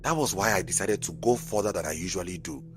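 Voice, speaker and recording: A man's voice: sits low, fast, music in the background, close to an everyday voice. A man voicing a young fisherman in love, candid and plain-spoken, in an audio folk tale. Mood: candid, explaining.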